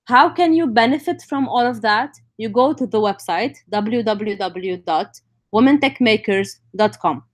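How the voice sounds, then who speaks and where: A woman's voice: on the high side, lively intonation, unhurried. A woman from Lebanon with a Lebanese accent, presenting an informal online session as the speaker. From North Lebanon. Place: live online stream.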